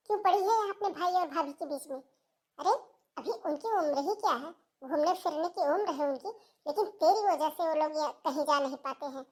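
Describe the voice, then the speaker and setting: A woman's voice: high-pitched, natural voice. A woman playing a scheming, scornful older mother who scolds and taunts in a Hindi cartoon moral story.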